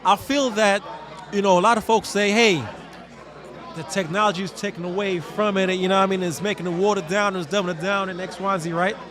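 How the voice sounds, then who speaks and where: A man's voice: high-pitched, brisk, crowd noise. A man, a guest DJ talking animatedly and streetwise in a casual interview. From Trinidad. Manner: animated streetwise talk, keeps saying "you know what I mean". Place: outdoors.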